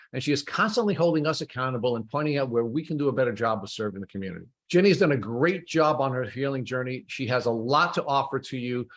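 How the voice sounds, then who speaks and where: A man hosting a podcast, giving enthusiastic introductions and asking measured, deliberate questions with small "uh" pauses. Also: medium pitch. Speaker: podcast host.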